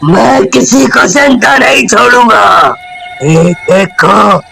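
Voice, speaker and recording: A man playing a vengeful, menacing ghost in a cartoon story, growling slow, drawn-out threats. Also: sits high, lively intonation, bright, forceful tone, slight background noise, exaggerated voice. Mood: furious, demanding. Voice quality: gravelly, growling. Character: vengeful male ghost trapped in a gas cylinder, menacing.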